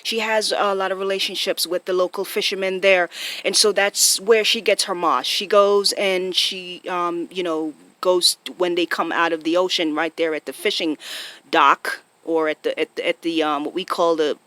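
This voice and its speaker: A woman's voice: lowish voice, steady tone, normal speed, fairly bright tone, no room sound. A woman with a Jamaican accent giving a casual, impromptu explainer to viewers, often checking in with short tag questions, recorded on a phone. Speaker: online video host.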